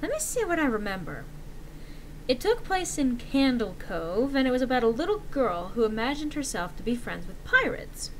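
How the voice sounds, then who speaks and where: A woman's voice: sits high, very animated, moderate pace, fairly bright tone, a little hiss or hum, rough recording. A woman voicing a matter-of-fact forum poster piecing together childhood memories in a conversational dramatic reading. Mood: thoughtful recall. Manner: conversational, recollecting.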